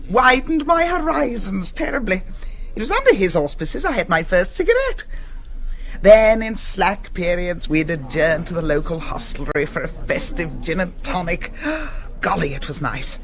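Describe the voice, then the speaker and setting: An actress playing a jolly, gushing middle-aged English school matron in a stage comedy, chatty and full of old-fashioned slang. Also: female voice, fairly low pitch, very animated, moderate pace. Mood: nostalgic, gushing. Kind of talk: British stage comedy acting.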